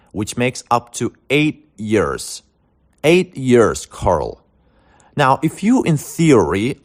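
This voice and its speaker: A man hosting a language learning video, giving tips in a scripted monologue with wry jokes and deadpan punchlines. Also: lowish voice. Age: adult, older than the internet era.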